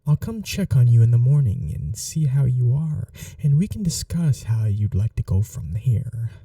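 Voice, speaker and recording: A courteous, old-fashioned gentleman vampire played by a man with a very dark, mellow voice and a creaky edge. He speaks slowly and soothingly, with lively rises and falls, as in a caring, intimate ASMR roleplay. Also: mid-range voice, no echo, clean studio sound, recorded from a distance. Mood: soft, considerate. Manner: unhurried, polite, soothing, slightly formal.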